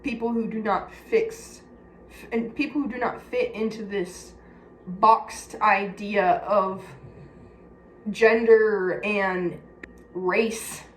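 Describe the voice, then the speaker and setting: A woman's voice: mid-range voice, fairly even intonation, slow pace. A woman with a Californian accent chatting candidly and casually to her viewers, confiding and blunt. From California, United States. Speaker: personal vlogger. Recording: echoey room with faint background noise.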